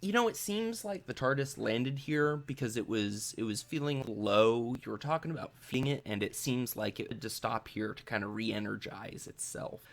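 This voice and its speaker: A man's voice: medium pitch, normal speed, hardly any pauses. A man running a tabletop role-playing game, improvising scene descriptions in a casual, hesitant, explanatory way. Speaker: game master.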